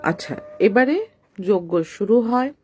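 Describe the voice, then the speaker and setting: A woman's voice: mid-range voice, expressive, slow, darkish tone, soft background music. A woman narrating a ghost tale in an informal, unscripted way, conversational and mixing in some English words. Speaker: horror story narrator.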